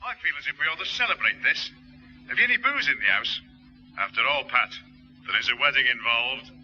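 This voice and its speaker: An actor playing a brash, blunt, scheming suitor, in a 1960s radio sitcom. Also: male voice, medium pitch, animated, a little fast, pushed and bright, soft background music. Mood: jovial. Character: brash, scheming, hard-hearted male suitor. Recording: harsh, muffled old radio recording.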